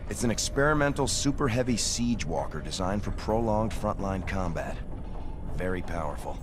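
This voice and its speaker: An actor playing a dutiful, serious military officer in a game cinematic, formal and matter-of-fact. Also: male voice, deep voice, animated, moderate pace, over music. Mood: factual. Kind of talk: video game cinematic acting, military briefing.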